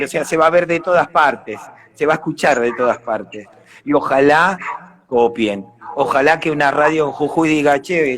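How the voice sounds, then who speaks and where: An Argentine man giving a casual interview on a live video call, earnest, repeating words as he builds his points. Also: fairly high pitch. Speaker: radio drama actor, interview guest. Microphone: live social media video call.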